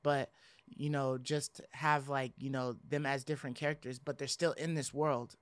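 A man's voice: medium pitch, little pitch movement, moderate pace, a bit bright, no room sound. A man chatting informally and with some slang as a podcast co-host. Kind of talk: casual chat.

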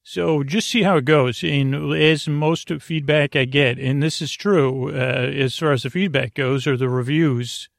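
A man hosting a sleep podcast in a creaky voice, delivering a soothing, meandering bedtime monologue that halts and restarts mid-phrase. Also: a little high, steady tone, measured pace, few pauses, no room sound, studio quality. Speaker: podcast host.

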